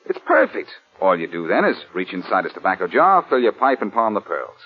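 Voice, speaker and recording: A clever rogue detective in an old American radio thriller. His low, rough-edged voice moves freely with wry confidence. The recording is muffled with age. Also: very animated, a little fast, soft background music, heavily acted. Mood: scheming. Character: wry, quick-witted male rogue detective, cool under pressure. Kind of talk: 1940s radio crime drama acting.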